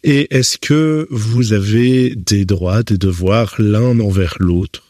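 A man's voice: on the low side, takes it slowly, warm tone, no echo, studio quality. A man interviews guests at a guest's home, asking short, plain questions.

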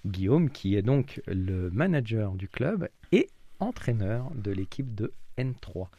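A man's voice: fairly low pitch, very animated, takes it slowly, mellow, no room sound, muffled recording. A man hosting a weekly radio football show in the studio, greeting guests and asking questions with frequent hesitations.

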